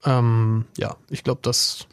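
A man's voice: mid-range voice, flat delivery, slow, clear, resonant tone, dry recording. A man chatting casually as a guest, in a laid-back and understated way. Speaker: professional handball player, guest. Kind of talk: casual chat.

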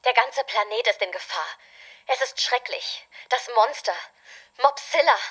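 A woman's voice: on the high side, a little slow, a bit bright, breathy edge, low-quality recording. An actress playing an urgent, businesslike woman at a crisis command in a comedic sci-fi audio drama. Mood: urgent, pleading.

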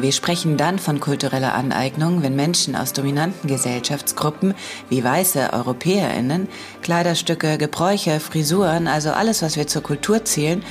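A woman hosting a podcast, reading a scripted intro and then interviewing a guest in a relaxed, conversational way with some fillers. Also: low voice. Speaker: podcast host.

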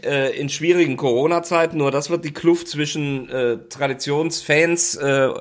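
A man's voice: fairly high pitch, fairly even intonation, normal speed. A man hosting a casual football talk, emphatic and opinionated, with frequent hesitant fillers.

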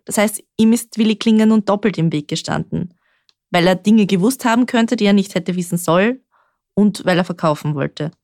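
A woman reporter hosting a true-crime podcast, explaining the case in a measured way. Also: medium pitch, a little mellow, no echo, studio quality. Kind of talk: scripted true-crime narration.

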